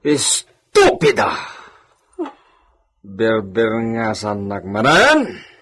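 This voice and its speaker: An actor playing a cruel, short-tempered, haughty landowner husband in a radio drama, domineering and throwing in Spanish exclamations. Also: male voice, on the low side, huge pitch swings, extremely slow, fairly bright tone, heavily acted. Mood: menacing, scolding. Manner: domineering, mixes Spanish exclamations into his speech. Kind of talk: radio comedy drama acting.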